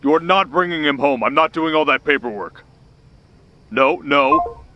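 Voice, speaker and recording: Man acting a sharp, exasperated hunters' handler. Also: on the high side, normal speed, highs cut off, slight background noise, pitched above the performer's own voice. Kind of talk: ASMR roleplay audio drama.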